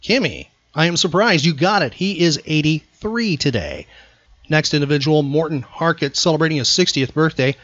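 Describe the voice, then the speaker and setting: A man's voice: fairly high pitch, normal speed, fairly bright tone, no room sound. A man hosting a casual trivia quiz, teasing and emphatic with his contestant. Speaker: podcast host and quizmaster. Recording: close, dry, slightly dull sound.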